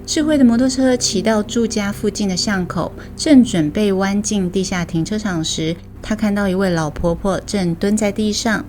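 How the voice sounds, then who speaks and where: Casting: female Taiwanese story host, scripted narration, mid-low pitch for a woman, music underneath. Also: moderate pace, hardly any pauses, darkish tone, a little hiss or hum. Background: music bed.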